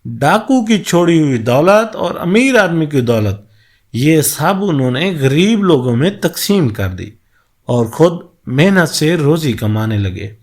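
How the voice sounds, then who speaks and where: A man tells a moral folk tale in an expressive storyteller's style. His pitch moves widely as he goes, and he sits a little higher than most men. The delivery is slow and measured, suited to a scripted narration.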